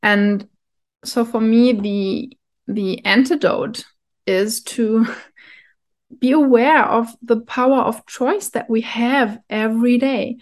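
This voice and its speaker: Woman, kinda high voice, talks real slow and thoughtful, little soft laughs. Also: steady tone. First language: German. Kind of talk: reflective solo talk.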